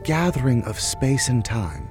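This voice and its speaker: An actor playing an awkward, earnest scholar with a formal, pedantic manner in an audio drama. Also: male voice, medium pitch, sing-song, unhurried, music in the background. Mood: earnest explaining.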